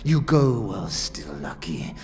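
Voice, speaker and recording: A man's voice: deep voice, sing-song, measured pace, darkish tone. A man voicing a menacing draconian devil in an audio drama, speaking in curt, threatening broken sentences. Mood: hostile, menacing.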